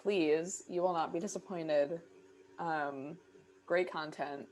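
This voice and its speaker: A woman co-hosting a casual chat show over a video call, easygoing and agreeable, quick to laugh. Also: sits low, fairly even intonation, darkish tone. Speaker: podcast co-host.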